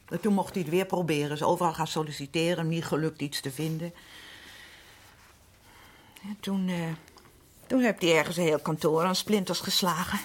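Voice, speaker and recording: A woman's voice: lowish voice, natural voice. An actress playing a chatty middle-aged working-class mother who rambles and defers to her husband, in radio drama. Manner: rambling, repetitive, seeking confirmation. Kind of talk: naturalistic Dutch radio drama acting.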